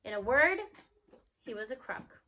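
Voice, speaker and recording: A woman's voice: on the high side, expressive, measured pace, sharp tone, slight background noise, pitched below the performer's own voice. A woman voicing a spry, folksy grandmother in a children's storybook, frank and affectionate in a colloquial way. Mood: rueful, confiding.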